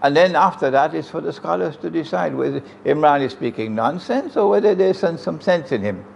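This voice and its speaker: An older man with a Trinidadian accent lectures emphatically into a close stand mic, his voice pitched a little higher than most men's. He throws out rhetorical questions to the audience. A faint hiss of room noise sits under him. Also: animated, a little fast, gravelly, darkish tone. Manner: emphatic, rhetorical questions, sweeping hand gestures. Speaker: Islamic lecturer. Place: room with green chairs, indoors. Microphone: stand mic close in front.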